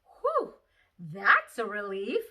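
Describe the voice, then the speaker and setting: A woman's voice: medium pitch, wildly swooping pitch, extremely slow, bright, forceful tone, full character voice. A woman reading a children's storybook aloud as a bold, chatty little kindergarten girl, emphatic and exclamatory. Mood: excited. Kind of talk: expressive children's read-aloud.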